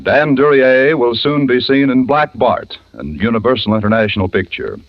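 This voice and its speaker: A man reads credits in old-time radio announcer style. His mid-pitched voice sounds dry and slightly muffled on a vintage broadcast, with faint music behind. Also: expressive, normal speed, dry recording.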